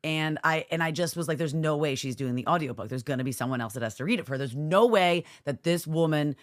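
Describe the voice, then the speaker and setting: A woman's voice: low voice, fast talker. An American woman co-hosting a podcast in casual, chatty banter, slangy and reactive, often echoing what she hears. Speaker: podcast co-host.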